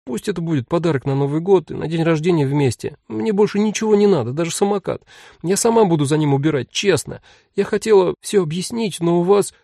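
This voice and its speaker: Audiobook part for a man: twelve-year-old girl, higher pitched, timid, earnest, pleading, dry studio closeness. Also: brisk, creaky, warm tone, no echo.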